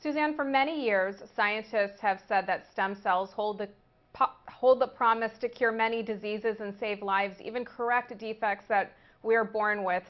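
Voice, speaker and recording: A woman interviewer asking prepared questions in a measured, formal way. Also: mid-range voice. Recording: dull lo-fi sound.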